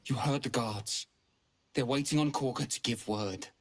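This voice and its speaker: An actor playing a worried, reasonable father in a video game, measured and grumbling with bitterness. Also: medium pitch, natural voice. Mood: resigned, exasperated.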